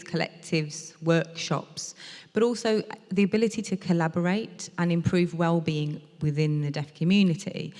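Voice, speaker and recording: A woman interpreter voicing a deaf speaker's talk aloud, in a measured, explanatory way with some fillers. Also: deep voice, a little mellow. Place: lecture hall at a museum event. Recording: reverberant lecture hall.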